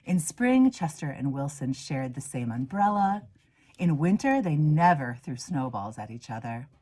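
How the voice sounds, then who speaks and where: Expressive woman reading a children's storybook, lively pitch. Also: unhurried, low-quality recording. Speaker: reader, minister. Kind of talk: children's storybook read-aloud.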